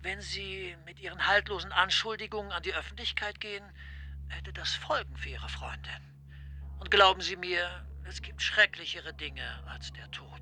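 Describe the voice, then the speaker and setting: A man's voice: high-pitched, moderate pace, sharp tone. A man playing a menacing, controlled official who makes formal, veiled threats over the telephone in a radio drama. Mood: coldly threatening. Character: menacing, smooth-talking official blackmailing by telephone. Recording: tinny, noisy phone call.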